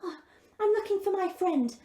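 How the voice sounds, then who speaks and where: A woman's voice: extremely high pitch, lively intonation, moderate pace, warm tone, reverberant, voice pushed up. Woman doing a small earnest girl. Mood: flustered. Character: young girl, kind and earnest.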